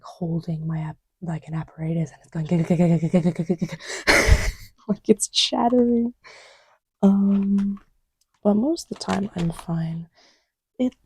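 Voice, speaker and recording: A woman playing an easygoing girlfriend in a soft ASMR roleplay, rambling hesitantly in a breathy murmur that often drops to a whisper. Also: fairly low pitch, no echo. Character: easygoing girlfriend chatting idly while testing markers.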